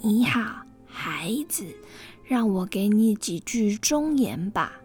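A woman voicing a ragged old woman in a folk tale, speaking slowly in a hushed, half-whispered way. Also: medium pitch, very animated, soft background music. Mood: warning. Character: ragged old woman giving grave advice.

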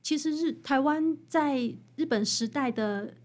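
A woman's voice: sits high, unhurried. A woman with a Taiwanese Mandarin accent, an author interviewed as a guest, explaining earnestly and conversationally with repeated, parallel phrases.

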